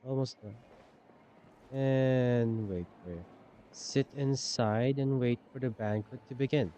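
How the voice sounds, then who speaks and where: A man's voice: mid-range voice, takes it slowly, dark tone, a little hiss or hum. A man giving casual live gameplay commentary, musing aloud and repeating his phrases. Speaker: game streamer. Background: game music.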